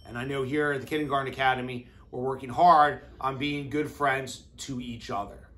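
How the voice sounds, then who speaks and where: A man in his thirties reading a children's storybook aloud with deliberate, expressive phrasing, as an educator does for young children. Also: mid-range voice. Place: classroom, indoors.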